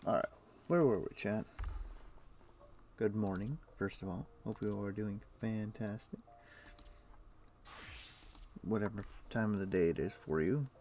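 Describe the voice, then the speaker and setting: A tired man streaming a game, casually greeting his chat in a relaxed way. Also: lowish voice, unhurried, long pauses, a little hiss or hum.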